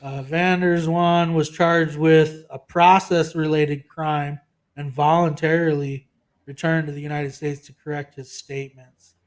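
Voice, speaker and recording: A man with a slightly raised pitch, chatting casually on a podcast. He rambles, breaks into half-laughs mid-sentence and lets his thoughts trail off, leaning into blunt opinion. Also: level tone, measured pace. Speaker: podcast co-host. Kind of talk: casual political podcast chat.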